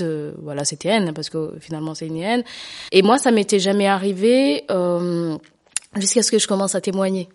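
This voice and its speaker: A woman's voice: on the low side, a little mellow. A middle-aged woman giving personal testimony in an interview, speaking hesitantly and often repeating herself as she searches for words. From Rwanda. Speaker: genocide survivor giving testimony.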